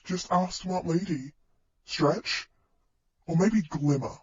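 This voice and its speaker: Guy doing young villain mastermind, higher voice, slow n smug threats, muffled lo-fi audio. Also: husky, exaggerated voice. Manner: taunting, slow, deliberate. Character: young male villain mastermind, cheerfully menacing, theatrical. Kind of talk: podfic villain speech. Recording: dull lo-fi sound.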